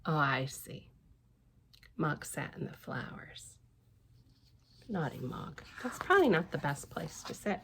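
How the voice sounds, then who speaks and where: A woman reading a storybook aloud to young children, animated and sing-song, with chatty asides and repeated little affirmations. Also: sits low, measured pace, gravelly, long pauses, slight background noise. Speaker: children's librarian and storytime reader.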